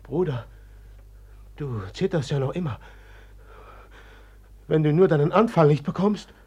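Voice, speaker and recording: A man's voice: on the high side, a little slow, dark tone, slightly rough, dull audio. An actor in a 1950s radio drama playing a brooding, haunted young man who speaks fearfully and in whispers. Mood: anxious. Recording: old 1950s mono radio recording.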